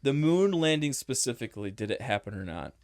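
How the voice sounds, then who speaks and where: A man's voice: medium pitch, very animated, brisk, dry recording. A young man co-hosting a casual podcast, joking around and laughing often. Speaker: podcast co-host. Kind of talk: casual chat.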